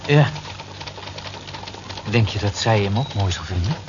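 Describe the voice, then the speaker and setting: Bashful, hesitant office man, earnest, low voice, noisy vintage radio sound. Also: lively intonation, a little slow. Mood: bashful, eager. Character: shy, earnest, grateful male office worker. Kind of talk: Dutch radio drama acting. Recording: muffled, noisy old radio recording.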